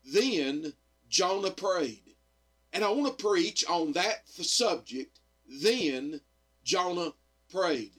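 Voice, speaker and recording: A middle-aged man, slightly hoarse, preaching earnestly on radio with frequent amens and pauses. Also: high voice, animated, fairly bright tone. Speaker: radio evangelist and pastor. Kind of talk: radio sermon.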